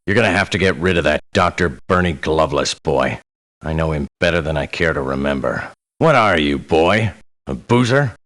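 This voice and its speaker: An actor voicing a gruff, menacing veteran mercenary in a video game, with a gravelly voice and a blunt, folksy, condescending manner. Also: male voice, rumbling low, lively intonation, moderate pace, fairly bright tone. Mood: angry, threatening. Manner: blunt, folksy, talks down to the listener. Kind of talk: video game character voice lines.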